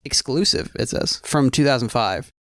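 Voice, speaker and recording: A man's voice: mid-range voice, animated, normal speed, a bit bright, no echo, studio quality. A man co-hosting a podcast, chatting casually about films and laughing often between points. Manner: chatty, laughs often, quotes people in put-on voices. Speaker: podcast co-host. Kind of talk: casual film discussion.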